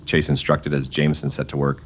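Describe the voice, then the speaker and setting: A man's voice: rumbling low, monotone, a little fast, slightly rough. A man narrating a scripted Western story in the manner of old-time radio drama.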